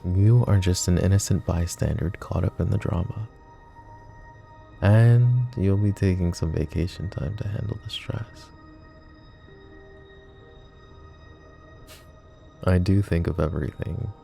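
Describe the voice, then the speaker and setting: Brief: doting, dangerous mafia boss, gravelly close-mic murmur, soft music faint behind. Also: male voice, deep voice, moderate pace. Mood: scheming. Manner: calm, controlled, coolly threatening and doting. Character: possessive, menacing male mafia boss. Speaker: voice actor. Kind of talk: roleplay ASMR audio drama. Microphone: close ASMR microphone.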